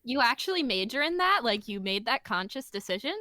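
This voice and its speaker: A woman's voice: fairly high pitch, very animated, fairly quick, bright, forceful tone, no echo. A woman voice actor in casual panel chat, with a dry, teasing manner.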